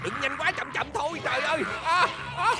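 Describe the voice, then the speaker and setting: A woman's voice: high voice, fairly quick, lightly acted. A woman voicing a hot-tempered, scheming old male wizard in a cartoon dub, scolding and exclaiming. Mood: panicked. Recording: loud music behind the voice. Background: loud music.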